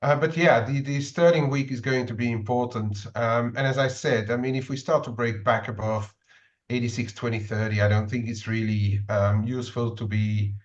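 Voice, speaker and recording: A man talking about currency trades and price levels in a brisk, matter-of-fact way. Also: mid-range voice, steady tone. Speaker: forex trader. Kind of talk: casual market discussion. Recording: dull lo-fi audio.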